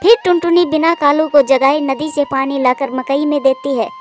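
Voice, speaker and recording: A woman's voice: high voice, fairly even intonation, normal speed, soft background music. A woman reading scripted narration for a children's story.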